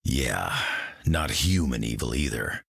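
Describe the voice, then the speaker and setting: An actor playing a gruff, weary old mutant brawler in a video game, with a gravelly growl and grumbling, folksy slang. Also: male voice, a bass voice, animated, slow, fairly bright tone, dry recording, heavily acted. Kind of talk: video game character lines.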